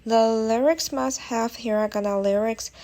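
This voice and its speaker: A woman's voice: fairly high pitch, steady tone, normal speed, darkish tone. A young woman whose first language is Chinese, narrating a study tutorial in careful, slightly non-native English. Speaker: language-learning vlogger. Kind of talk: scripted voice-over tutorial.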